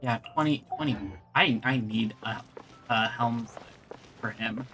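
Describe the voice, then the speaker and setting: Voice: mid-range voice, measured pace, noisy background. A video game streamer giving casual live commentary, thinking aloud and muttering to themselves while playing. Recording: game music behind the voice. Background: video game music.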